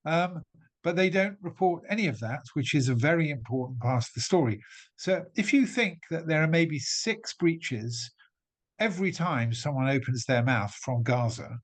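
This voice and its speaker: A man's voice: a little high, expressive, normal speed. Emphatic man arguing point by point. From England. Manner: emphatic, argues point by point with frequent restarts. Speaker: guest speaker. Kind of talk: talk to an audience.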